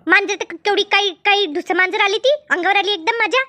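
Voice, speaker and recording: Woman playing a frightened cartoon village woman, squeaky high pitch, rapid chatter, rural Maharashtrian accent, excitable. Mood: flustered. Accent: rural Maharashtrian Marathi. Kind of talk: cartoon comedy dialogue.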